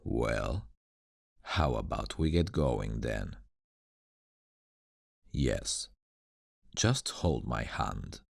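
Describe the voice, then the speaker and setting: An ancient demon guardian for an ASMR roleplay. His voice is extremely deep and crackles with gravel. He is suave, sardonic and condescending, and his intonation rises and dips theatrically as he teases. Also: expressive, no echo, studio quality, exaggerated voice. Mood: coaxing, teasing. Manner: slow, deliberate, dramatic, condescending toward humans.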